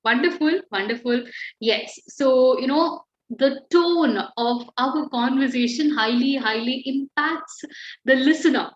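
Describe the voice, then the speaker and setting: A woman's voice: sits high, measured pace. A young adult woman, teaching an online writing class and explaining patiently with examples. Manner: encouraging, explains with examples and fillers. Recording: echoey, slightly dull call audio.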